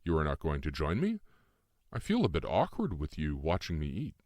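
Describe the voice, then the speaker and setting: A man's voice: a bass voice, huge pitch swings, brisk, a little mellow, dry recording, clean studio sound, heavily acted. Man acting a wary, questioning man in a story. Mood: puzzled. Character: distrustful, emotional man narrating his own story. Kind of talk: audiobook character dialogue.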